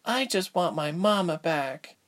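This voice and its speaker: Casting: small girl, sad and earnest, high-pitched played by a male narrator, for a storybook. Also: expressive, unhurried, a bit bright. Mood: sad, worried. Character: earnest, grieving little girl missing her mama.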